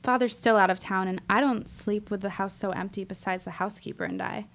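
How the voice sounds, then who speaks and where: A woman's voice: medium pitch, fairly even intonation, a little fast, vocal fry, fairly bright tone. A woman playing an earnest, determined young woman of the 1910s, speaking thoughtfully in a period audio drama. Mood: warm, grateful.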